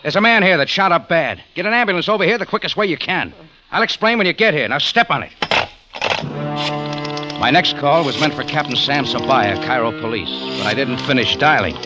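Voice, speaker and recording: Hardboiled American cafe owner, terse and wry, animated pitch, dull vintage radio sound. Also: male voice, fairly quick. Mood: urgent. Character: tough wisecracking cafe owner and adventure hero in Cairo. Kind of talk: 1950s radio drama acting, hardboiled narration. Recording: muffled vintage 1950s radio recording, music behind.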